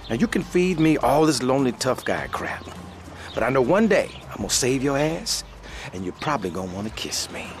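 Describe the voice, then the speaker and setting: A man plays a cocky, teasing crook in a game cutscene. His mid-pitched voice swings very widely, over faint outdoor noise. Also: very animated, brisk, lightly acted. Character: cocky, teasing smooth-talking criminal partner. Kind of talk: video game cutscene acting.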